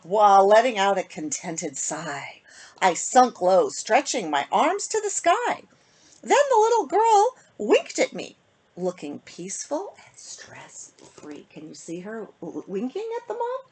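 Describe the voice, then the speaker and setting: A woman reading a rhyming picture book aloud to children in an expressive, sing-song manner, with phrases that often end softly. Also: mid-range voice, unhurried, husky. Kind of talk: storybook read-aloud for children.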